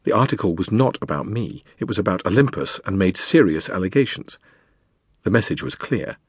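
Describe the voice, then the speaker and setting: A man reading a memoir as a scripted audiobook narration, in a measured, deliberate manner with dramatic pauses. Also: sits low, big pitch swings, slightly rough, no room sound, clean studio sound.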